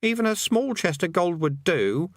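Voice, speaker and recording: A man voicing a scrawny, excitable male demonologist who commands in stammering, pompous archaic words. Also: high-pitched, normal speed, no echo, clean studio sound, voice pushed up, full character voice. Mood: excited, pompous. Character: scrawny excitable middle-aged male demonologist, mad and pleading. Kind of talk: audiobook character voice.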